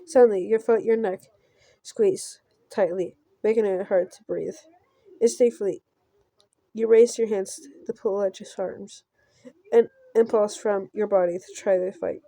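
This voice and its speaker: A woman doing a casual let's play, reading a visual novel aloud haltingly and breaking off for offhand reactions. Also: mid-range voice, steady tone, warm tone, no room sound.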